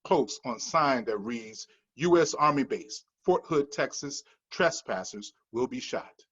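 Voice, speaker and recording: A man's voice: medium pitch, animated, takes it slowly, fairly bright tone, slightly rough, dull audio. A man reading the stage directions of a screenplay at a table read in a matter-of-fact manner.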